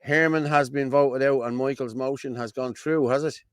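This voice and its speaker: A man's voice: medium pitch, fairly even intonation, moderate pace, a crowd in the background. An Irish man co-hosting a casual livestream, rambling and repeating himself as he chats and reads out viewer comments. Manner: rambling, repeats "you know what I mean". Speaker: co-host.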